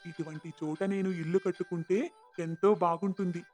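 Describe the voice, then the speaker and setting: Humble, devout poor villager played by a man, high-pitched, sincere, cartoon folk tale with soft music behind. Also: fairly even intonation, moderate pace. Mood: quietly content. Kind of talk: cartoon moral-story acting. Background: background music.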